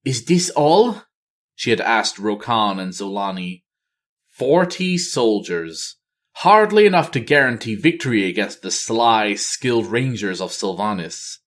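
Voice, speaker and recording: A man's voice: fairly low pitch, sing-song, measured pace, a bit bright. A man reading a fantasy novel aloud as storyteller, in dramatic audiobook-style narration.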